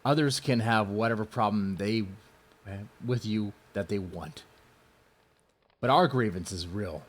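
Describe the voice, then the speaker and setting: A man's voice: lowish voice, measured pace. A man hosting mocking commentary in a sardonic, theatrical way. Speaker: host. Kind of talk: mocking commentary on a post he reads.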